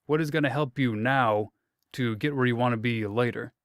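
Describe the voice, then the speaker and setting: A man hosts a relaxed interview with a smooth, resonant voice. He talks in a thoughtful, rambling way, repeats himself often, and pokes fun at himself. The sound is close and dry. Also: mid-range voice, normal speed, no room sound. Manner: thoughtful, wordy, repeats himself, light self-mocking jokes. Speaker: interviewer, host. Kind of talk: casual interview chat.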